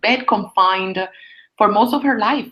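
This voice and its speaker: Halting Puerto Rican woman, dull audio. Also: mid-range voice. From Puerto Rico. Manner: halting, searching for words, repeats phrases. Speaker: interview guest, astronomer. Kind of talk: conversational interview answers.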